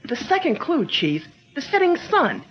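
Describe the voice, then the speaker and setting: A woman playing Nellie, an eager, quick-witted companion. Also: high voice, huge pitch swings, exaggerated voice. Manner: eager, bright, quick to suggest ideas. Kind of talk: 1940s radio drama acting. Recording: dull lo-fi old radio sound.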